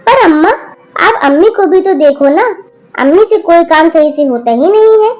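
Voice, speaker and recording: A woman's voice: high-pitched, animated, moderate pace, a little mellow, faint music behind. A woman voicing a spoiled college-age daughter who snaps and whines rudely at her mother. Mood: complaining. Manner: whiny, snapping, rude.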